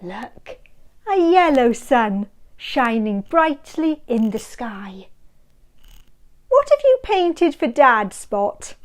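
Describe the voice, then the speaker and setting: A woman reading a picture book aloud to small children, sing-song and inviting, drawing the listener in with questions and hushed counting. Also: fairly high pitch, very animated, drawn-out words. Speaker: storybook reader.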